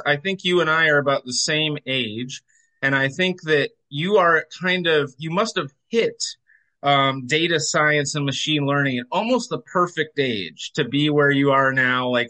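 Male podcast host, bright punchy voice, slightly high, doing intros and asking the guest questions. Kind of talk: scripted introduction and interview questions.

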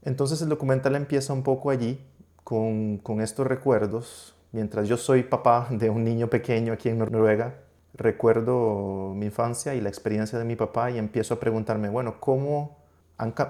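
A middle-aged man from Costa Rica, a guest talking about his documentary in a casual interview, often pausing and restarting his sentences. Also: mid-range voice, fairly even intonation, dark tone. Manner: hesitant, many restarts and fillers. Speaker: guest, documentary filmmaker and media professor.

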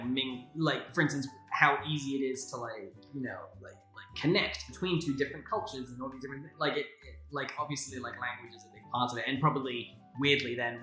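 A man's voice: mid-range voice, sharp tone. Rambling English man in an echoey room. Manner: rambling, quick bursts full of "like" and "you know". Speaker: podcast co-host. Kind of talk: casual conversation.

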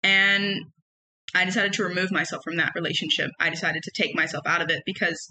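A young woman with a loud, emphatic voice, telling personal stories in a casual solo podcast. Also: lowish voice, little pitch movement, fairly quick, low-quality recording. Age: young adult. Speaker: podcast host.

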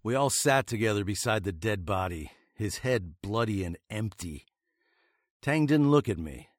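A man's voice: fairly low pitch, expressive, moderate pace, no echo, studio quality. An actor playing a wry fugitive western hero, narrating his tale in a literary, sardonic way for a radio drama. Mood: grave, wry.

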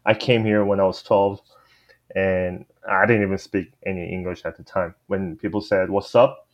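A very low-voiced man with a Korean accent talks in an easygoing, chatty way, sprinkling his sentences with "you know". The recording sounds dull and lo-fi. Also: flat delivery, normal speed. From South Korea. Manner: easygoing, laughs often, fillers like "you know". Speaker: guest. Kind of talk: casual panel discussion.

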